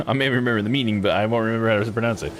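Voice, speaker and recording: A man's voice: on the low side, quick pace, a little hiss or hum. A man giving casual let's-play commentary on a visual novel, musing aloud to himself.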